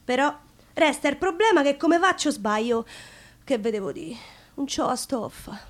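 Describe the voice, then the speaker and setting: A woman's voice: high voice, expressive, normal speed. An actress playing a wry, defiant Roman woman in a colloquial, ironic theatre monologue, with a Roman accent. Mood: ironic, resigned.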